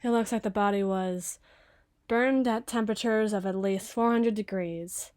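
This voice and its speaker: Woman voicing a sarcastic obsessive female detective, young adult, teasing, morbid, ASMR roleplay, mid-pitched voice. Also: normal speed, darkish tone, natural voice. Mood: sardonic.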